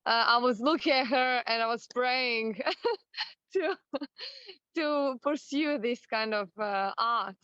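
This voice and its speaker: A woman from Serbia with a Serbian accent, a native Serbian speaker, talking casually and haltingly as an interview guest. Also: fairly high pitch, fairly bright tone, clean tone, no room sound. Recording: slightly dull, lo-fi sound.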